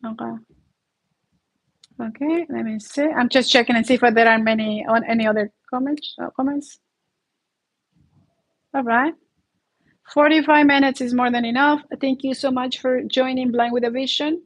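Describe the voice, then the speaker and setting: A woman's voice: high-pitched, fairly even intonation, normal speed, pauses often, rough recording. A woman from Mexico with a Mexican Spanish accent hosting her own channel, speaking earnestly and laughing often while sick with the flu and a sore throat. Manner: earnest, heartfelt, laughs often mid-sentence. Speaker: YouTube channel host. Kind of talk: casual livestream monologue.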